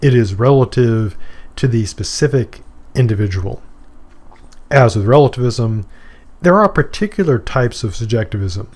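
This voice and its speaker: Man, middle-aged, from Maine, philosophy professor, recorded lecture, mid-pitched voice, casual, explains with examples. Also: lively intonation, normal speed, a little mellow. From Maine, USA. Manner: conversational, explains with examples and asides.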